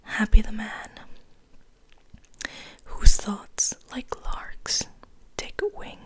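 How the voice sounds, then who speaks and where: A woman whispering in a hushed, deliberate ASMR style, as host of a soft poetry reading, close to the microphone. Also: low-quality recording.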